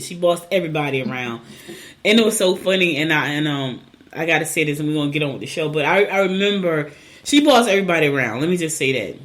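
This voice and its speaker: A middle-aged woman from New Jersey hosting a morning show, her voice raspy and scratchy as she rambles through personal stories. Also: low voice. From Newark, New Jersey. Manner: rambling, chatty, warm personal storytelling. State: hoarse, clearing throat. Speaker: podcast host. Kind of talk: casual morning show chat.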